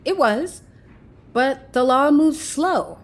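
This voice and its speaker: A woman's voice: high-pitched, lively intonation, takes it slowly, exaggerated voice. A woman playing a flippant, unrepentant lover in an audio drama, with a breezy, casual, needling manner. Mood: breezy, reasoning. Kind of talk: naturalistic audio drama acting.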